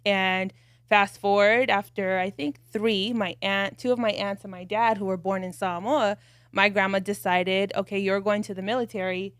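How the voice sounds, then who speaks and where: A woman co-hosting a podcast, telling her family story in a reflective, measured way with casual fillers. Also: medium pitch, fairly even intonation, no room sound, studio quality. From United States. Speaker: podcast co-host.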